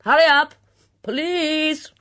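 A woman's voice: fairly low pitch, expressive, normal speed, fairly bright tone, dry recording. A woman voicing a brusque, no-nonsense countrywoman in an audiobook, blunt and commanding, with clipped slang. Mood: bellowing. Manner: blunt, bellowing, clipped upper-class slang.

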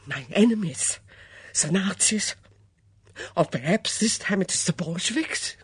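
A woman voicing an excitable young foreign housemaid who speaks loud, theatrical, broken English. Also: on the low side, measured pace, breathy edge, no room sound, pitched above the performer's own voice, full character voice. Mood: alarmed.

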